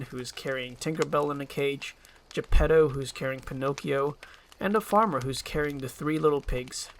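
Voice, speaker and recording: A man's voice: medium pitch, a bit bright, slight background noise, rough recording. A man reading a screenplay aloud as storybook narration.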